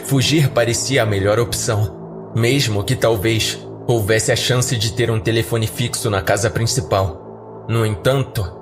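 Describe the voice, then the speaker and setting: A man's voice: lowish voice, unhurried. A man narrating a scripted first-person horror story for a podcast. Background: faint music.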